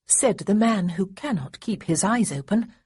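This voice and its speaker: A woman voicing Marcus, a hoarse, feverish young gentleman groaning from his sickbed. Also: medium pitch, expressive, a little slow. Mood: strained.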